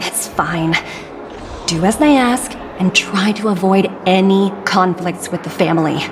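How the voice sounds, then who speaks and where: A brisk corporate boss. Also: female voice, on the low side, noisy background. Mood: weary. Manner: crisp and authoritative. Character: brisk, commanding corporate manager woman. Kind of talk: game voice acting. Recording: loud game music behind.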